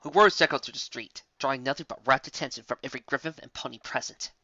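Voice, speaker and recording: A woman's voice: extremely low pitch, quick pace, bright, forceful tone, dry recording. A woman narrating a fantasy story in a dramatic reading style, on a slightly dull recording. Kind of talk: dramatic reading of fan fiction.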